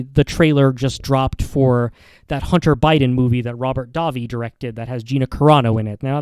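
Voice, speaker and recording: A man with a soft-edged, mellow voice of ordinary pitch talks casually as a podcast host. He rambles through his opinions, often repeating and correcting himself as he goes. The sound is dry, with very little room to it. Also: clear, resonant tone, no echo. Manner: halting, rambling delivery with frequent repeats and self-corrections. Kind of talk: casual opinion commentary monologue.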